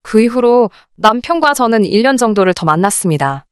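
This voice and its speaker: A woman's voice: on the high side, lively intonation, a little fast, clear, resonant tone, no room sound, clean studio sound. A woman narrating a scripted first-person story in a polite, confiding storytelling manner. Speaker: narrator of a radio-drama story channel.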